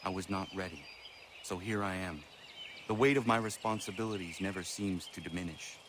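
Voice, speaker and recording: A man plays a burdened young warrior with a deep voice. He speaks earnestly and stiffly, grieving but stoic, in game-drama style, with clear outdoor birdsong and ambience. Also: a little fast, noisy background, lightly acted. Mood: sombre, reflective.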